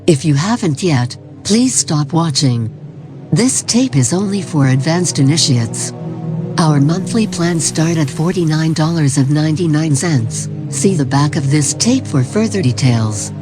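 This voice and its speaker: A performer playing a calm, formal cult recruitment narrator, reading a scripted instructional tape in a measured, solemn way. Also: medium pitch, lightly acted. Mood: serene, ominous. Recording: 1990s tape-style narration over music. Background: music.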